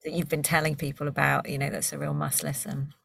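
A woman's voice: sits low, flat delivery, quick pace, gravelly, a bit bright. A woman asking questions casually as an interviewer in a conversation.

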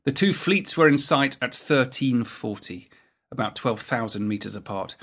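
A man reading scripted historical documentary narration in a measured, factual way. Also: medium pitch, expressive, a bit bright. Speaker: narrator of a naval history programme.

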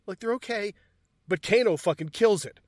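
A man's voice: sits high, normal speed, darkish tone, no room sound, studio quality. A man co-hosting a casual movie review chat, walking through scenes and swearing casually. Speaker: movie review podcast co-host.

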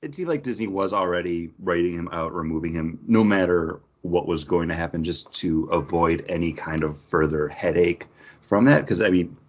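Low-pitched husky man, casual podcast co-host. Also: normal speed. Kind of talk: casual pop-culture discussion. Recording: dull, lo-fi podcast audio.

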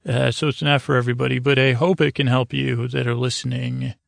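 A man with a creaky, gravelly voice hosting a sleep podcast, delivering a hesitant, meandering bedtime monologue that trails off and circles back. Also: medium pitch, little pitch movement, fairly quick, no echo, studio quality. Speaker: podcast host.

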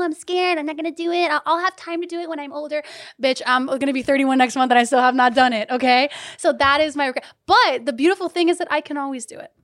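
A fairly high-voiced woman in her thirties speaks openly and animatedly in a podcast chat, often laughing and sometimes cursing. She swings from loud and emphatic down to near-whispers, recorded dry and close with no room sound. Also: no room sound. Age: 30s. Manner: animated, swearing, laughing, shifting from loud to hushed. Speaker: guest, community leader and business owner. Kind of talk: candid podcast conversation.

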